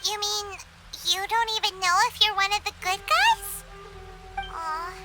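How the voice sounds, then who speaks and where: A woman voicing a tiny, excitable, naive fairy companion in a video game, squeaky and childlike, with a chirpy manner. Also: unhurried. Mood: curious, doubtful. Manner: chirpy, speaks of self in third person. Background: music.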